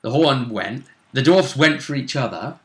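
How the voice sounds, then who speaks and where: A man's voice: mid-range voice, lively intonation, normal speed. A man hosting a casual conversational recap of a tabletop role-playing game, recorded in a room. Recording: roomy, echoing sound.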